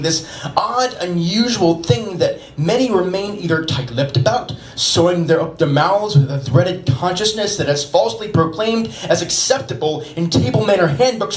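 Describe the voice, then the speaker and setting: A man reading spoken-word poetry at a live open mic, with an earnest, emphatic and dramatic delivery. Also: high voice. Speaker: poet and open mic co-host. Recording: roomy, noisy live venue recording.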